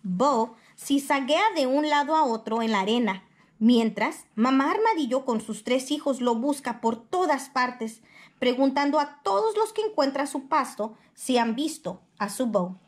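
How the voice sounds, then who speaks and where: A woman reading a children's picture book aloud in expressive storybook narration. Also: high voice, measured pace.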